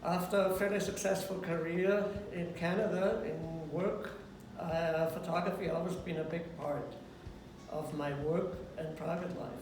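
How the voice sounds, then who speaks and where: Older guy, flat even voice, roomy echo from far off. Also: a little high, measured pace. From Austria. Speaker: guest photographer. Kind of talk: casual interview. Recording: echoey room, far from mic, background noise.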